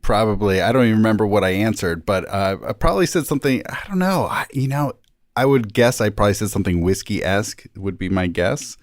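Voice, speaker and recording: A man's voice: fairly low pitch, animated, fairly quick, dry recording, clean studio sound. A man speaking as a rabbi guest on a podcast, thinking aloud hesitantly in casual conversation.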